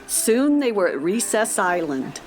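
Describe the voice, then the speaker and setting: A woman's voice: medium pitch, sing-song, a little slow, soft background music. A woman narrator reading a scripted children's story.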